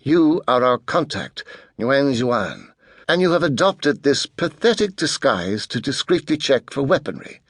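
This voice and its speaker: A man voicing a pale, testy adolescent mastermind in a soft, clipped voice, speaking formally and with authority in an audiobook. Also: mid-range voice, very animated, a little slow, no room sound, studio quality. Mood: haughty, testy. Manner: clipped, precise, formal speech.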